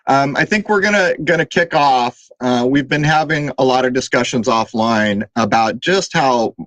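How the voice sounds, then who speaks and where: A man's voice: medium pitch, normal speed, fairly bright tone, no echo, rough recording. A man hosting a talk show, chatting casually and drifting between topics with fillers. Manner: conversational, digressive with fillers. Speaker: podcast host.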